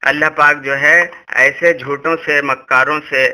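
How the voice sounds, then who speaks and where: A man's voice: on the high side, fairly even intonation, unhurried, sharp tone. A man giving an argumentative religious explanation on a recorded phone call, insistent and lecturing, often repeating himself.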